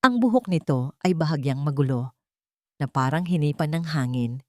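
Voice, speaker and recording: A woman's voice: low voice, animated, moderate pace, dry recording, studio quality. A woman with a Filipino accent reading a scripted romance story as a dramatic narrator.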